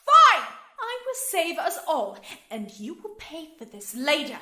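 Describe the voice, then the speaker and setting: A woman's voice: wildly swooping pitch, measured pace, pushed and bright, exaggerated voice. A woman playing an arrogant, cruel wind sorceress in an audio drama, with a haughty, theatrical delivery that taunts and threatens. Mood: menacing, scheming. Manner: theatrical, haughty, swinging from purring menace to shrill shrieks.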